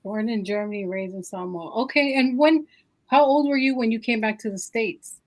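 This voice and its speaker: Higher-voiced woman hosting a live stream. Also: normal speed, poor audio. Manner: friendly, chatty with many fillers. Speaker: host.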